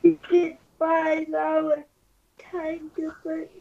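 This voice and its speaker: A woman from the United States in an intimate couple roleplay, cooing in a drawn-out, babyish way on a lo-fi recording. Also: sits high, completely flat delivery, creaky. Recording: muffled playback of a lo-fi video.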